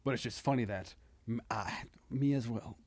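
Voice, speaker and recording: A man talking casually as a comedian guest on a podcast, halting and self-deprecating. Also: mid-range voice, very noisy.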